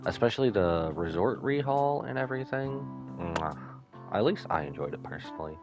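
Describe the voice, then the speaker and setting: Male game streamer, very low voice with animated intonation, casual rambling commentary. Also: moderate pace, over music. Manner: rambling, drifts into asides. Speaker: live-streamer.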